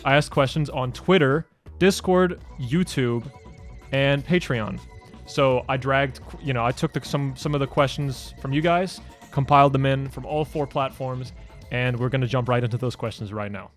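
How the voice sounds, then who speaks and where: Mid-pitched young guy rambling thru a casual q&a, faint music in the back. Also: normal speed. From Denmark. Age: 20s. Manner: loose and rambling, many "like" fillers and restarts. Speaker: YouTube creator answering fan questions. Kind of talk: casual Q&A vlog.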